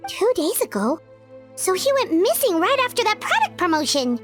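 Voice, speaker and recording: A woman voicing a tiny, childlike, blunt and excitable companion creature in a video game, with exaggerated delivery. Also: extremely high pitch, normal speed. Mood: surprised, curious. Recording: soft music behind.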